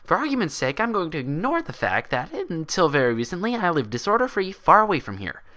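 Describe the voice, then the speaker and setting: A man's voice: a little high, huge pitch swings, brisk, sharp tone, pitched above the performer's own voice, exaggerated voice. A man voicing an indignant young female unicorn pony in a story reading, bookish, stubborn and insistent. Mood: skeptical. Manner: insistent, emphatic, argumentative.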